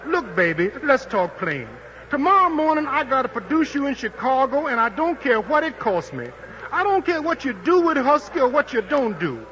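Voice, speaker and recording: An American man playing a fast-talking, wheedling boxing manager in slangy 1940s radio drama, coaxing and insistent. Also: very high-pitched, big pitch swings, sharp tone, heavily acted. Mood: pushy, persuasive. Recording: muffled, noisy 1940s radio broadcast.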